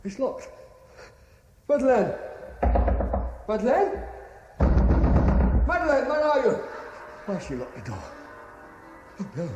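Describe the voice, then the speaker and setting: A man's voice: extremely high pitch, huge pitch swings, takes it slowly, long pauses, lo-fi sound, over music, exaggerated voice. A British actor playing an anxious, lovesick man terrified of heights in a radio drama, pleading and urgent. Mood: panicked. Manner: pleading, urgent, emotionally wrought. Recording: echoey, noisy vintage radio drama recording.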